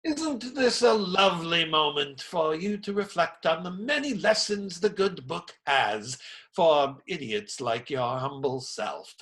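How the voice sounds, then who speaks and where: A man acts out a burly, pious friar in a radio play, his voice high and bright, full of theatrical indignation. He delivers mocking little sermons at a slow, deliberate pace. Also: expressive. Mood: mocking, preachy.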